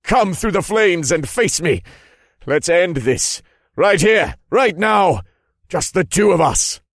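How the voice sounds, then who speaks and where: A man voicing a furious, self-righteous general in an audiobook, shouting his defiant declarations. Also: sits high, animated, slow, no room sound, studio quality, voice pushed up, exaggerated voice. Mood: furious challenge. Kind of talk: audiobook character voice.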